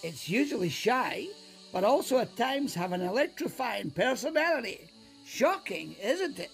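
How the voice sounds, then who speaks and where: A woman's voice: a little high, big pitch swings, normal speed, creaky, soft background music. A woman playing a helpful female train conductor in a story, breezy and teasing.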